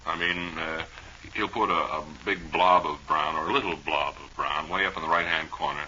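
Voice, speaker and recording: A low-voiced man with a very bright, forceful edge hosts a radio show in casual comic banter. He is wry and playful, rambling along on a dull old recording. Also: fairly even intonation, normal speed, a little hiss or hum. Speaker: radio host.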